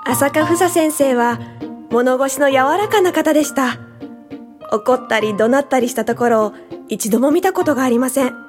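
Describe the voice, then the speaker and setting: Actress as admiring young woman student in radio drama: high, polite, eager voice over music. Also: normal speed, darkish tone, natural voice. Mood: fond, admiring. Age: young adult. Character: earnest, admiring young female university student. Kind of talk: radio drama acting and reminiscing narration.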